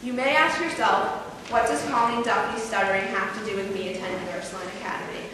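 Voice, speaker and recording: A woman's voice: mid-range voice, fast, a crowd in the background. Teenage girl with a mild stutter in an echoey hall. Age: teens. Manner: formal, carefully paced, occasional repeated words. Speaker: student speaker. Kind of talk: prepared speech to an audience. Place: large hall, heard from far off. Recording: roomy hall echo from a distant mic.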